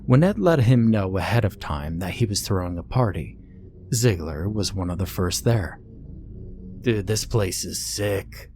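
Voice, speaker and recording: Low-pitched man narrating a horror story. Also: animated, moderate pace, warm tone, soft background music.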